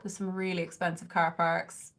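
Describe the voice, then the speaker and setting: A woman's voice: lowish voice, level tone, moderate pace, a bit bright. A woman in her thirties from southern Ireland with an Irish accent, chatting casually while she does her makeup and answers viewers. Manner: chatty and rambling, with frequent "like" and "um"; coos at her cat. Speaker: beauty livestreamer. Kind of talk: casual chat while doing makeup, reading viewer comments. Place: bedroom at home, indoors. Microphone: webcam or phone camera at close range.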